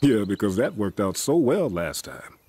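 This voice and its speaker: A weary middle-aged veteran, gruff and guarded. His voice is low and husky, and he talks in blunt, wry lines like a character in a game cutscene. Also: huge pitch swings, normal speed, dark tone, full character voice. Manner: dry, blunt. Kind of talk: naturalistic video game acting.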